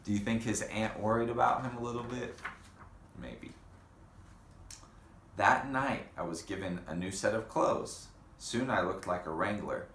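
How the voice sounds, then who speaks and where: A man reading a children's picture book aloud in an unhurried way, pausing for casual comments and questions. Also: on the low side, fairly bright tone. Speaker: storybook reader. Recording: roomy echo with faint background noise.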